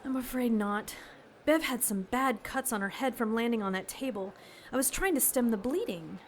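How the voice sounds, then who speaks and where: A woman's voice: on the high side, expressive, normal speed, slight background noise, lightly acted. An actress playing a worried, earnest woman seeking help in an audio drama.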